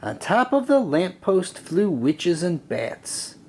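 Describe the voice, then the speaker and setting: A man reading a rhyming children's story aloud in an expressive, deliberate, sing-song way. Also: sits high, slightly rough. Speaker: storybook reader. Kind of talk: children's read-aloud narration.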